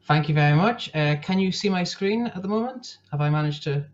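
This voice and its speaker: Young man, northeast Scottish accent, chatty presenter on a call, slightly high-pitched, muffled lo-fi sound. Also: lively intonation, fairly quick. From northeast Scotland. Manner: chatty, many fillers and restarts. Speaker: guest speaker. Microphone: video call. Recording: dull lo-fi call audio.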